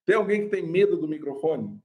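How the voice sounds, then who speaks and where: A young adult man with a Brazilian Portuguese accent, a pastor teaching a live online class on preaching in an animated, chatty way, laughing often and calling viewers "gente". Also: high-pitched, moderate pace.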